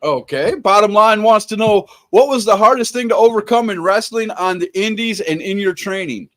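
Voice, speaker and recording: A man's voice: sits high, moderate pace, fairly bright tone. A man hosting a casual online interview, reading out viewer questions, enthusiastic and repeating himself. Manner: enthusiastic, repeats words and restarts.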